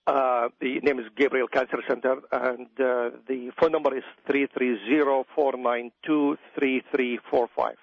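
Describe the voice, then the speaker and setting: A man's voice: mid-range voice, fairly even intonation, normal speed, vocal fry, few pauses, no room sound. A middle-aged man with an Iraqi accent speaking as an expert guest in a conversational radio interview, emphatic and given to repeating phrases. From Iraq. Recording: dull, dry radio audio.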